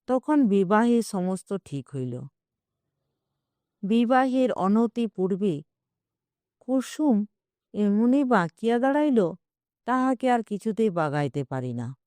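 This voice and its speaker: A woman narrating a scripted literary short story in a measured, expressive storytelling manner. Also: medium pitch, dry recording.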